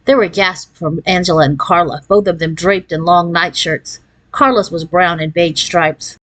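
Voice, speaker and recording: A woman's voice: fairly low pitch, steady tone, normal speed, a bit bright. A woman reading a children's story aloud as scripted narration, shifting into character voices for the dialogue. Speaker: audiobook-style reader.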